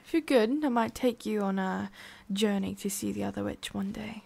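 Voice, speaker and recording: A woman voicing a haughty, prickly witch with a hidden soft side in an ASMR roleplay, soft and often whispery with a creaky edge. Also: mid-range voice, moderate pace. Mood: softening, coy. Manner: soft, often whispery, breathy sighs, curt asides.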